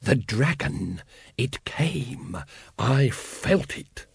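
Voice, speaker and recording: A man's voice: on the high side, expressive, husky, dry recording, clean studio sound, voice pushed up, heavily acted. A man voicing a pompous, scheming cult leader in an audiobook, commanding and impatient, stretching words for emphasis. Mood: awed, whispering. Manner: commanding, hissing, drawn-out emphasis. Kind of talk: audiobook character acting.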